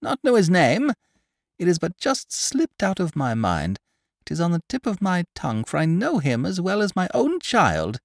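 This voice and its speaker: A chattering, stout old shopwoman who swoops high and wide as she spreads gossip, as a male reader puts her on. Her exclamations tumble out in a dry, close, dead-sounding read. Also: huge pitch swings, moderate pace, gravelly, no echo, heavily acted. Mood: mocking. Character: stout gossipy older woman shopkeeper. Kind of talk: audiobook character reading.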